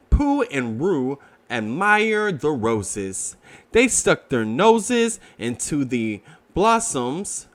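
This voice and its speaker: A man's voice: medium pitch, fairly bright tone. A man narrating a children's story as a read-aloud.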